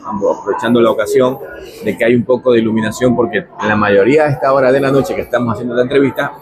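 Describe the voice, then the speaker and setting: A man interviews a guest in a café during a power cut. He stumbles and repeats words as he asks his questions. His voice sits at a middle pitch, and loud noise runs behind it. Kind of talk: local interview.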